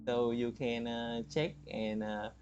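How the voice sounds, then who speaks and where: A man's voice: medium pitch, little pitch movement, slow pace, highs cut off. A man livestreaming gameplay with casual, reactive commentary, often interjecting and repeating words. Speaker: game livestreamer. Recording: loud game music behind the voice.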